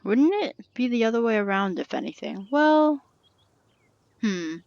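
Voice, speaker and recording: A woman's voice: on the high side, sing-song, a little slow, darkish tone. A young woman giving casual, playful and wry commentary on a video game, flippant, on a dull lo-fi microphone. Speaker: gamer commentating on her playthrough. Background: game music.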